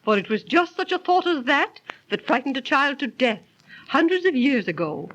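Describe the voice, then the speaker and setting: An actress playing a proper, precise, genteel aunt who scolds gently and tells tales, 1930s radio drama style. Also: female voice, high-pitched, very animated, moderate pace, slight background noise. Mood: gently reproving. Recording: dull, lo-fi 1930s radio recording.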